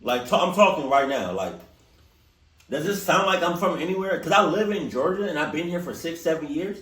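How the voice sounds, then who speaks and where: A man's voice: fairly high pitch, brisk, far from the mic. A man from Maryland with a Maryland accent, hosting a reaction video and chatting casually with his viewers. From Maryland, USA. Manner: animated, laughing, talks straight to viewers. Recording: roomy echo, a bit off-mic.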